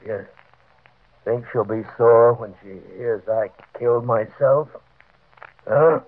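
A tinny, narrow-band vintage radio recording carries a hunted small-time crook in a 1940s crime play. He tells of his downfall in slangy, fatalistic tough-guy talk, with a medium-pitched voice. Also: takes it slowly. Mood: weary, bitter. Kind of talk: 1940s radio drama acting.

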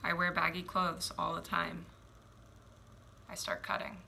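A woman in her twenties from Oregon performing spoken word poetry at home, measured and reflective, with deliberate pauses. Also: lowish voice, completely flat delivery, creaky, bright, forceful tone, a little hiss or hum. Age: 20s. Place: small home room indoors. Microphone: headphones and webcam.

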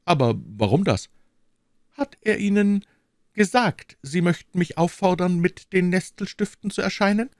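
A man's voice: sits high, lively intonation, measured pace, a little mellow, no room sound, clean studio sound, pitched above the performer's own voice. A man voicing a frightened young queen who pleads and stammers in an audiobook reading. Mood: pleading. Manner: trembling, stammering pleas.

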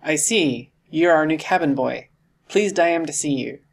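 A man voicing a cheerful but cunning one-legged sailor and tavern landlord in a storybook, with hearty, forceful, salty nautical talk. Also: fairly high pitch, moderate pace, gravelly, slight background noise.

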